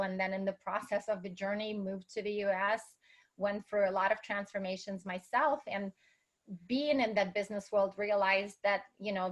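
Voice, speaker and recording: A woman from Russia with a Russian accent, speaking as an entrepreneur guest in an interview in an enthusiastic, motivational way. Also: medium pitch. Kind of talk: interview conversation.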